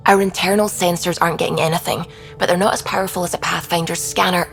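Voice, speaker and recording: An actress playing an earnest, thoughtful woman science officer on a starship in a video game, with a measured delivery. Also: fairly low pitch, fairly even intonation, creaky, a bit bright, soft background music. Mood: concerned.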